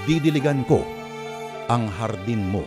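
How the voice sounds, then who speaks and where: A man's voice: medium pitch, lively intonation, measured pace. A man narrating as host of a radio drama, with a theatrical, florid scripted delivery. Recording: loud music bed behind narration.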